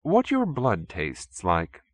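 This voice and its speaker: A man's voice: mid-range voice, wildly swooping pitch, slow pace, no room sound, exaggerated voice. A man voicing a haughty, urbane cult leader in an audiobook, pompous and sternly courteous. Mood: smooth menace. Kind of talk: audiobook character acting.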